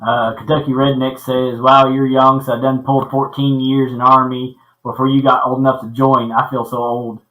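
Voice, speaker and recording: Halting man in his thirties, echoey. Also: medium pitch, flat delivery, pushed and bright. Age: 30s. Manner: halting, repeats words and fills with uh. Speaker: podcast host. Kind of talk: casual livestream chat. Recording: echoey room.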